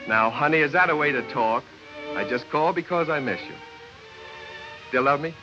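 An American actor in his thirties playing a fast-talking, flustered radio producer in a 1940s comedy film. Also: on the high side, natural voice. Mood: sweet-talking. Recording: muffled 1940s film soundtrack, music behind.

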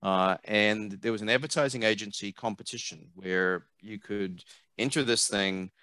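A middle-aged man with a New Zealand accent, a marketing consultant speaking as an interview guest over a headset on a video call, animated and emphatic, often repeating words as he thinks. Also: fairly low pitch, moderate pace, no room sound. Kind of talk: conversational interview. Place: home office, video call. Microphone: headset mic.

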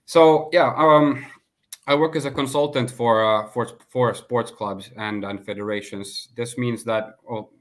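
A man in his thirties from Finland, a guest on a video call interview, speaking in a measured way with filler pauses. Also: medium pitch, fairly even intonation, a bit bright. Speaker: guest, sports consultant. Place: home room, indoors. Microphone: laptop webcam on video call.

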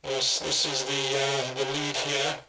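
A man's voice: mid-range voice, completely flat delivery, slow pace, reverberant, very noisy. A middle-aged man giving a casual demonstration in a hesitant way, his voice run through a robotic ring modulator effect. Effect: ring modulator robot voice changer. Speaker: prop maker. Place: small domestic room, indoors. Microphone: camera a short distance away.

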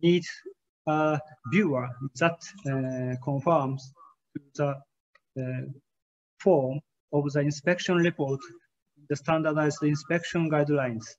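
Guy giving a keynote on a webcam call, slightly high voice, lots of uh pauses, audio a bit muffled. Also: fairly even intonation. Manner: hesitant, frequent "uh" fillers, careful formal phrasing. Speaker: keynote speaker. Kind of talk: conference keynote presentation over slides. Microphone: webcam on a video call.

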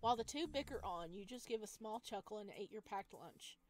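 A woman reading a visual novel aloud in an expressive way, adding casual asides as a streamer. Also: mid-range voice, brisk. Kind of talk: lively read-aloud of a game with casual commentary.

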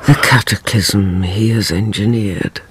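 A woman's voice: extremely low pitch, steady tone, over music. An actress playing an older woman narrator, knowing and theatrical, drawing out her words in a radio drama. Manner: slow, drawn-out, theatrical.

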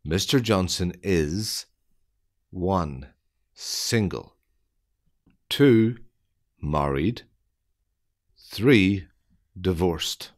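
A man with a low, deep voice reads out an English listening quiz. He speaks extremely slowly, setting each phrase apart so that learners can follow every word. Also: lively intonation. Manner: deliberate, evenly spaced phrasing for learners. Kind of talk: slow scripted quiz reading.

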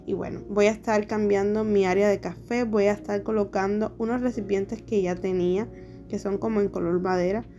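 Chatty woman vlogger over soft music. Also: mid-range voice, steady tone, fairly quick, lo-fi sound. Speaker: home decor vlogger. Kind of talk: casual voice-over vlog narration. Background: soft background music.

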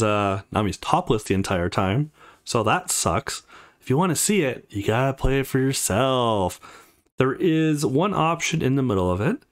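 Man with widely swinging pitch, casual commentary. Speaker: let's play host. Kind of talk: casual gameplay commentary, reading dialogue aloud.

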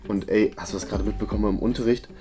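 A young man playing a chatty, pushy schoolboy in a comedy sketch, talking casually in halting, repeated bits. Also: on the low side, fairly even intonation, pitched below the performer's own voice, natural voice. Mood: gossipy. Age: young adult.

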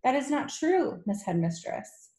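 A woman's voice: high voice, very animated, unhurried, warm tone, pitched above the performer's own voice. Lady doing a nice polite teacher in a kids audiobook, earnest but stands her ground, roomy echo. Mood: indignant, firm. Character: gentle but principled female schoolteacher, quietly firm. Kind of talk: children's audiobook character voice.

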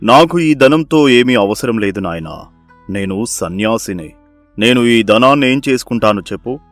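A man voicing a kindly, serene ascetic in a cartoon moral story, speaking in a slow, benevolent way. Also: medium pitch, lively intonation, dry recording, faint music behind, close to an everyday voice.

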